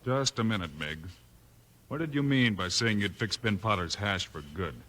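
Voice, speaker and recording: An actor playing a fearless, calm lawman hero with an American accent in a 1950s radio Western. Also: fairly low pitch, moderate pace, lightly acted. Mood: cool, determined. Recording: slightly noisy old 1950s radio recording.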